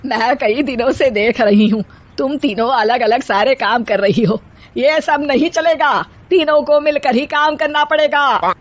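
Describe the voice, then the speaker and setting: A woman's voice: sits high, measured pace, pitched above the performer's own voice. A woman voicing a bossy, exasperated mother-in-law in a cartoon story, with a commanding, theatrical way of scolding. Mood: stern. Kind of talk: cartoon story character acting.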